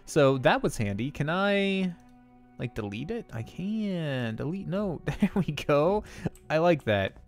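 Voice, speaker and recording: Man streaming a game, animated pitch, slightly stuffy nose, casual chatter, faint game music underneath. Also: takes it slowly. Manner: chatty, laughs often, reads game dialogue aloud. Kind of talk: casual gameplay commentary and chat.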